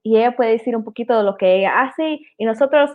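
A woman's voice: fairly high pitch, fast. Woman hosting in Spanglish, tinny phone-like sound. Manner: mixes English words into her Spanish. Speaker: host interviewing a guest. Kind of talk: casual chat, interview. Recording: tinny, phone-like call audio.

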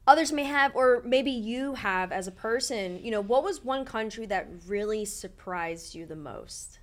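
A woman's voice: a little high, animated, measured pace. A woman hosting a podcast, with a polished, upbeat presenter delivery as she opens the show and asks the interview questions. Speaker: podcast host. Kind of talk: scripted show intro then interview questions.

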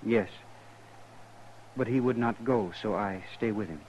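An actor playing a solemn, loyal buffalo skinner in a 1950s radio western, speaking in slow, formal, halting sentences. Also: male voice, lowish voice, a little mellow, natural voice. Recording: dull old radio recording, faint noise.

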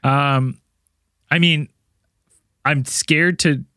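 A man's voice: a little high, steady tone, very slow, pushed and bright, clean tone, no echo, studio quality. A man co-hosting a podcast, talking in a loose, rambling way and laughing often while he announces giveaways and tells stories. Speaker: podcast co-host. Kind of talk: casual chat.

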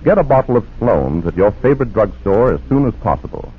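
Muffled 1940s radio pitchman, lively intonation. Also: fairly quick, husky. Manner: polished, persuasive salesman's delivery. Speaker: radio announcer. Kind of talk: 1940s radio commercial announcing. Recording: muffled, narrow-band vintage radio recording.

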